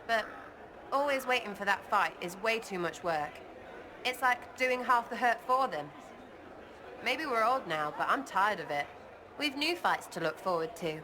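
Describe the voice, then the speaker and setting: A woman's voice: mid-range voice, animated, unhurried, natural voice. A woman playing a blunt rogue amid crowd noise. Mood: defiant, weary. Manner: colloquial, blunt. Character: blunt, scrappy, irreverent female rogue. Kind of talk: video game character dialogue.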